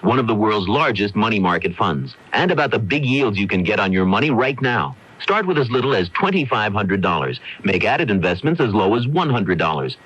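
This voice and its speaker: Lively, low, husky American ad announcer. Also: male voice, dry recording. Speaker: commercial announcer. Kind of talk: scripted radio ad read. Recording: dull vintage AM radio recording.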